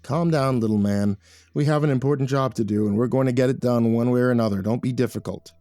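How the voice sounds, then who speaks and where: A man voicing a patronizing male camera operator in a story, talking in a measured, condescending way. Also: medium pitch, dark tone, no room sound, close to an everyday voice.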